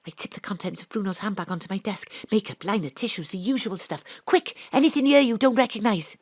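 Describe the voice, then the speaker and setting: A woman narrating as a private detective in a radio play, with a brisk, wry, deadpan manner. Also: medium pitch, no echo. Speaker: narrator and detective Astrid Price. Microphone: home studio mic.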